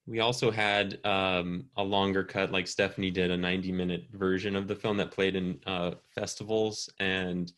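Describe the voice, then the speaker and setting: A man who makes documentaries, speaking haltingly with many pauses and restarts on a video call. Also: sits low, flat delivery, fairly bright tone. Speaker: documentary filmmaker. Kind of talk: conversational panel answer.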